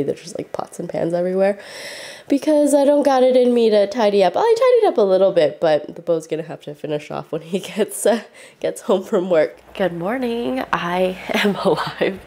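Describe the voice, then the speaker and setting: A woman's voice: lowish voice, creaky, darkish tone. A young woman vlogging in a casual, rambling way, with a stuffy, congested voice from a cold. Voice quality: stuffy, nasal. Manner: chatty, rambling with many fillers. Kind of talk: casual vlog chat.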